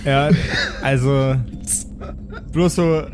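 A man improvising as game master in a tabletop role-playing game, often pausing to search for his words. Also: mid-range voice, steady tone, darkish tone, soft background music. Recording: clear background noise and ambience.

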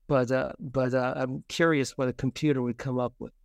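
Male interview guest, smooth clean tone, very dry sound, conversational storyteller who halts and restarts. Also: mid-range voice, fairly even intonation, dry recording, lo-fi sound. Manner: halting, repeats words and restarts. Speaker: guest, children's book author.

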